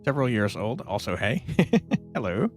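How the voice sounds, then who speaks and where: Mid-pitched male streamer, animated and informal, casual gameplay chat with music running underneath. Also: moderate pace. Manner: informal, reads out chat and answers it. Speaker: game streamer. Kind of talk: casual live gameplay commentary, chatting with viewers. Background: game music.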